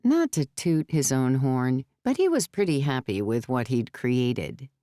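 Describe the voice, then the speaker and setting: A woman with a very low, very smooth voice narrates a novel. The reading is scripted audiobook storytelling, captured close and dry on a studio microphone. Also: big pitch swings, unhurried, mellow, no echo. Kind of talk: scripted third-person fiction narration.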